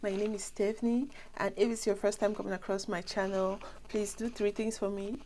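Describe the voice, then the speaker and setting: A woman's voice: medium pitch, little pitch movement, fairly quick, a little mellow, slight background noise, rough recording. A young woman with a Cameroonian accent who has a flu, chatting casually and ramblingly as she addresses her viewers directly. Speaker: vlogger.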